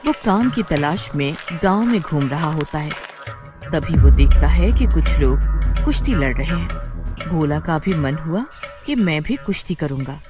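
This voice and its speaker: A woman's voice: low-pitched, expressive, a little slow, music in the background. A woman giving scripted narration as the storyteller of a moral tale.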